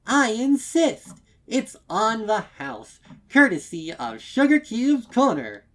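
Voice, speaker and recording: A man voicing an insistent young female pony baker with loud, forced cheer, in a storybook reading. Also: sits high, big pitch swings, takes it slowly, pitched above the performer's own voice, heavily acted.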